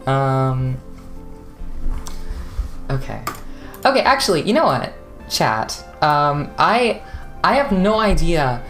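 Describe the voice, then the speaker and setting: Streamer type: young West Coast Canadian woman, very deep voice, chatty and rambling, soft music under her. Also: big pitch swings, takes it slowly, pauses often. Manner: chatty, rambling, laughs often, many fillers. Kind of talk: casual live-stream chat with viewers.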